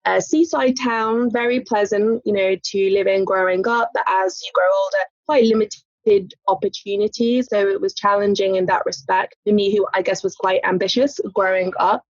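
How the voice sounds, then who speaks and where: A woman's voice: mid-range voice, fairly even intonation, normal speed, hardly any pauses, no room sound. A woman, a lawyer talking as a podcast guest in a chatty way, with light laughs and many "you know" fillers. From England. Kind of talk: casual interview conversation. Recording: muffled, dry podcast audio.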